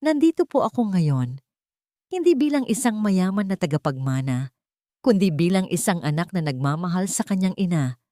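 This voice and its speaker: Casting: woman narrator as rich young schemer, pleading and emotional, lively pitch, close dry sound. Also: moderate pace, no room sound. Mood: falsely tearful. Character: scheming rich young male heir, falsely grieving, panicky when exposed.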